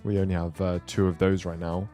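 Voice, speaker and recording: A man's voice: low voice, flat delivery, a little fast, dark tone. A man giving casual gameplay commentary as a video host, thinking aloud as he goes. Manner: thinks aloud, talks himself through decisions. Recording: music behind the voice.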